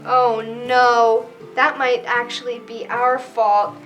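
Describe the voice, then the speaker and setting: Bright, high-pitched earnest fairy played by a woman. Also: level tone, slow, faint music behind. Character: earnest, excitable crystal flower fairy. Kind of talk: amateur children's readers' theater acting.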